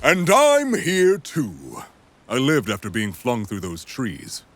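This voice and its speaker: A man's voice: mid-range voice, wildly swooping pitch, takes it slowly, fairly bright tone, full character voice. A man voicing a friendly, over-dramatic creature in a cartoon parody, declaiming grandly. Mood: grand, earnest. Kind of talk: fan-dub parody cartoon acting.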